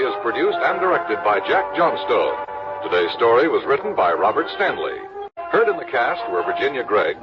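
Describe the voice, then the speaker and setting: Booming old-time radio announcer, a man. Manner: formal, booming announcer delivery. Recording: muffled 1950s radio broadcast with music.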